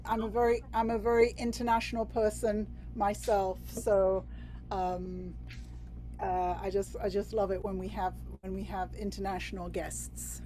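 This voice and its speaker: A woman's voice: medium pitch, darkish tone, low-quality recording. A woman from England hosting a video-call workshop, bubbly and chatty, with many fillers and restarts. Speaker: workshop host.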